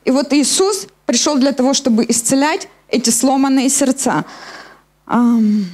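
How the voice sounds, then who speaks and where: A woman with a high-pitched voice delivers a sermon from a church stage. She is middle-aged and explains scripture step by step, speaking directly to her listeners through a handheld microphone. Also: a little slow, a little mellow. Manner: explanatory, addressing listeners with questions. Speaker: preacher. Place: church hall stage at a lectern, indoors.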